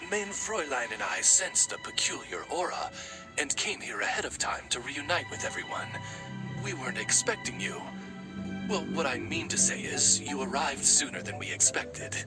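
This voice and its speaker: A man's voice: fairly high pitch, very animated, normal speed. A man playing a courtly raven familiar in a video game, a devoted, anxious attendant who speaks formally and deferentially. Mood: flustered courtesy. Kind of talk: video game character acting. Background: prominent game music.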